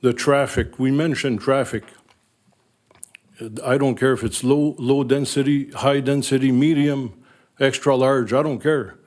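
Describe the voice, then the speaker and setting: An older man speaks with a thick French Canadian accent, his first language being French. He addresses council as a local resident, earnest, emotional and blunt, his voice sitting at a middle pitch for a man. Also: fairly even intonation, measured pace, a little mellow. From Northern Ontario, Canada. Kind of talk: public comment at a council meeting.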